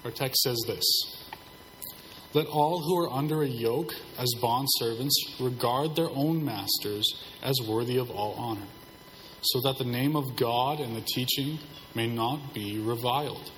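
A hoarse man delivers a sermon as pastor in a measured, subdued way, sparing his strained voice. His voice sits at a middling pitch and carries a long reverb from the hall, with faint noise behind him. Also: fairly bright tone.

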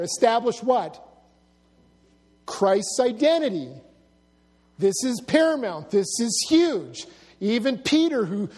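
A middle-aged male pastor preaching a sermon, swinging between loud emphasis and hushed, low intensity, with humorous asides. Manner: storytelling with humor, drawing laughs. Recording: long hall reverb.

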